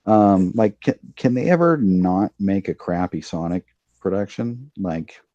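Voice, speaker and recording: A man's voice: deep voice, animated, a little slow, warm tone, dry recording, lo-fi sound. A man talking casually as a podcast panelist, giving opinions in an emphatic way.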